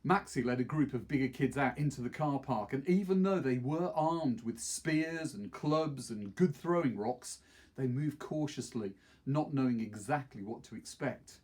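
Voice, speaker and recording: A middle-aged man reads a novel aloud as a teacher would, in expressive storybook narration. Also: medium pitch, normal speed, breathy edge. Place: small wood-panelled study, indoors.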